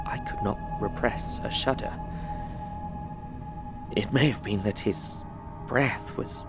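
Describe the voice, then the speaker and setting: An actor playing an earnest, uneasy young Englishman, narrating his diary in an audio drama with measured, formal, dramatic phrasing. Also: mid-range voice, vocal fry.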